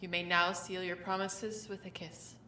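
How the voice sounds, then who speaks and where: Royal wedding officiant voiced by a woman, calm ceremonial phrasing, far from the mic with faint noise. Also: on the low side, echoey room. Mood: solemn. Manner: formal, measured phrases. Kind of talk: audio roleplay drama acting.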